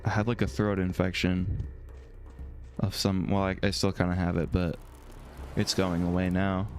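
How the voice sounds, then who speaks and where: A man streaming a video game, thinking out loud in casual, rambling talk, his voice a little hoarse from a throat infection. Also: deep voice, little pitch movement, moderate pace, faint music behind. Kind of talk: casual let's-play commentary, reading dialogue aloud.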